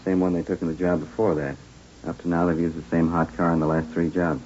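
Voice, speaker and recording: An actor playing a brisk, businesslike American police officer giving clipped, factual reports in a 1950s radio drama. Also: male voice, low-pitched, little pitch movement, darkish tone, close to an everyday voice. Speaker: supporting actor. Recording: muffled, faintly noisy old radio recording.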